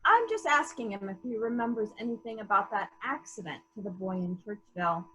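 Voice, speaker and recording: A woman playing a patient, probing investigator in a murder mystery reading, courteously and gently asking questions. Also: mid-range voice, lively intonation, a little fast, rough recording. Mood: gentle, probing.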